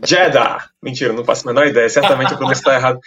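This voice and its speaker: Male sports reporter, mid-pitched, jokey and halting, dull lo-fi audio. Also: fairly even intonation, bright, forceful tone. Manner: joking, halting with restarts. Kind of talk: casual podcast chat.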